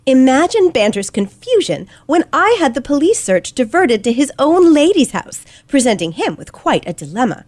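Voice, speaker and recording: Give the part of a sharp, self-assured teenage girl detective to a woman actor in an old radio mystery. The voice sits fairly high and swings widely for dramatic emphasis. Every phrase is precise and theatrical, in a dry recording. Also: sing-song, moderate pace, hardly any pauses, no room sound. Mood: triumphant, emphatic. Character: teenage girl detective, confident, sharp, relishing her explanation. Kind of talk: old-fashioned radio play acting.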